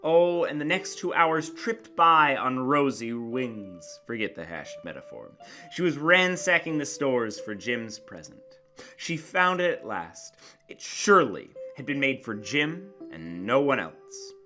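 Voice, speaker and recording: A man's voice: medium pitch, sing-song, unhurried, sharp tone. A man narrates a classic short story as an emphatic, theatrical dramatic reading, savoring his phrases. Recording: guitar music behind the voice. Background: plucked guitar music.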